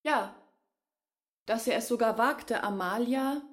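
A woman's voice: a little high, slow pace. An actress playing an earnest woman who reflects on her family's humiliation in a literary radio play. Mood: earnest, pained.

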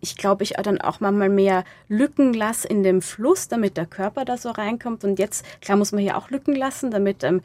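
A woman's voice: mid-range voice, moderate pace, hardly any pauses, a little mellow. A woman speaking as an interviewed playwright, casual and thoughtful, linking loose sentences and rewording as she goes. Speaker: playwright interviewed about her radio play. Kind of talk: casual reflective interview talk.

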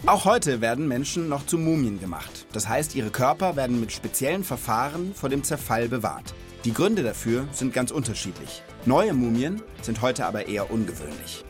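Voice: mid-range voice, sing-song, moderate pace, hardly any pauses, soft background music. A host chatting casually on a children's podcast, explaining things simply and asking follow-up questions.

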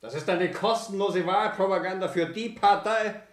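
Actor as a distant, high-voiced mocking drinker. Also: male voice, normal speed, lightly acted. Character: mocking, unhurried Bavarian tavern drinker. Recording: distant, echoey, noisy pub.

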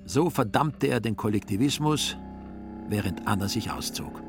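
A man's voice: on the low side, animated, moderate pace, music in the background. A man narrating a literary radio drama as a scripted storyteller.

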